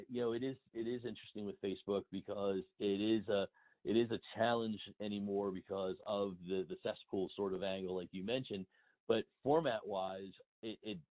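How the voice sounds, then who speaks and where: Middle-aged male interview guest, low voice, emphatic and wordy, thin phone-line sound. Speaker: interview guest, industry consultant and blogger. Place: remote phone call.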